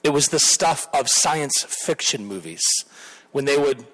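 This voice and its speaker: A man's voice: mid-range voice, animated, measured pace, fairly bright tone, poor audio. A man in his thirties, a pastor, earnestly introduces a guest at church and leads a prayer, rambling with restarts.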